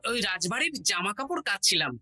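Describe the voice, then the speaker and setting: A bright, put-upon cartoon jester. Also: mid-range voice, sing-song, fast talker, exaggerated voice. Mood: harried. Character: witty, put-upon male court jester. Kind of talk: animated cartoon voice acting.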